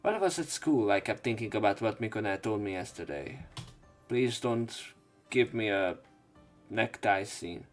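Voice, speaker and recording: A man's voice: on the low side, expressive, moderate pace. A man reading a visual novel aloud as a let's play narrator, mixing in casual, crude joking asides. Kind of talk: reading game text aloud with commentary. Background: faint game music.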